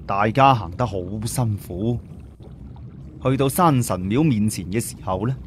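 A man's voice: fairly low pitch, a little slow, slight background noise. A man with a Cantonese accent narrating an audiobook story in a dramatic, scripted storytelling style.

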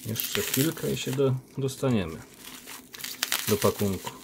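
A man unboxing silver coins, talking casually on a phone recording with a relaxed, chatty manner. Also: mid-range voice, unhurried, creaky, heavy background noise. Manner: relaxed, chatty, with many diminutives and fillers. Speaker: coin collector unboxing silver. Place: indoor room by a window. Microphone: phone camera.

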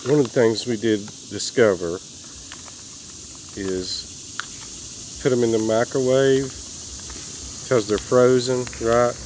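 A man's voice: mid-range voice, fairly even intonation, slow, long pauses, darkish tone, low-quality recording. Man chatting casually, outdoor noise behind him. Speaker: travel vlog host. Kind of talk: casual chat to camera. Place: outdoors at a park campground.

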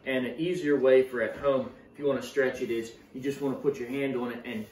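A young man in a home kitchen casually teaching how to stretch pizza dough, encouraging and steady, with frequent quick approvals. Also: mid-range voice. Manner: encouraging, steady coaching with frequent "yep, perfect". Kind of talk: casual cooking demonstration. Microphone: camera mic from across the counter. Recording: echoey kitchen, voice a bit far off, faint room noise.

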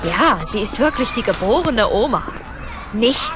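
A woman's voice: fairly high pitch, big pitch swings, a little slow. A young actress playing a sceptical, sarcastic young mother in naturalistic audio drama dialogue. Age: young adult. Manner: dry, teasing sarcasm. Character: sceptical, sarcastic young mother apartment-hunting with her boyfriend. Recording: studio audio drama with music underneath.

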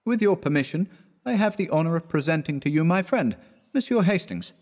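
A man's voice: fairly high pitch, sing-song, normal speed, pitched above the performer's own voice. A man voicing a breezy, flippant young male art student who is easily flustered, in an audiobook. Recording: echoey hall-like reverb.

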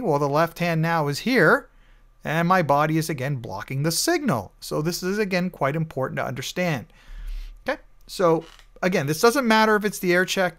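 A man with a fairly high voice narrates over slides. He explains in a relaxed, conversational way, peppering his talk with "you know". It sounds like a recorded voice-over. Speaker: network engineer reviewing a tool. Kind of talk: screen-recorded slide walkthrough.

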